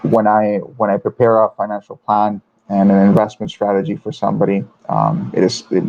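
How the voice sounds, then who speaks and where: A man from Venezuela in his thirties, a financial advisor talking haltingly with many restarts in a live webinar interview. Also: lowish voice, little pitch movement, gravelly, a little mellow. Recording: dull lo-fi webinar audio.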